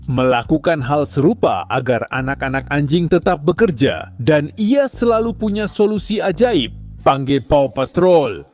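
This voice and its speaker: Pria bernada tinggi yang sarkastis dan ekspresif. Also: male voice, fairly high pitch, animated, a little slow, a little mellow, faint music behind. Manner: sarcastic and exasperated, mocking tone. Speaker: commentary narrator. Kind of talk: comedic commentary voice-over.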